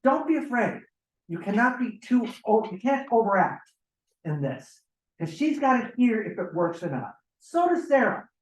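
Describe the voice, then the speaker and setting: Animated man directing actors, echoey room. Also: sits high, moderate pace. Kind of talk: informal instructions to a cast.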